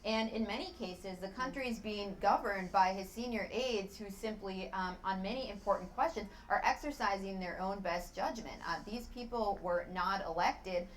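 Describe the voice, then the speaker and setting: A woman's voice: medium pitch, normal speed, few pauses, low-quality recording. A woman giving political analysis as a journalist on a studio television panel. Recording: TV broadcast with faint ambient noise.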